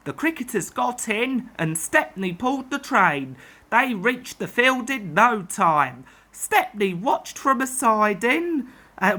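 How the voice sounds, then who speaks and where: A woman storyteller reading a children's tale aloud in an expressive narrating style. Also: on the high side.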